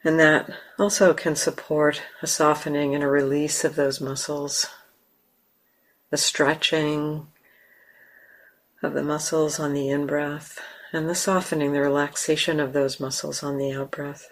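A woman with an American accent, a meditation teacher leading a guided meditation slowly and softly, with long pauses. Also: sits low. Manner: slow, soft, calm, with long pauses. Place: meditation hall.

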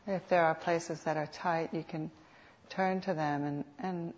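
A woman teaching guided meditation in an unhurried, soothing manner with reflective pauses, on a dull lo-fi recording. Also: sits low, fairly even intonation, a little hiss or hum. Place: meditation center. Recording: dull, lo-fi live talk recording.

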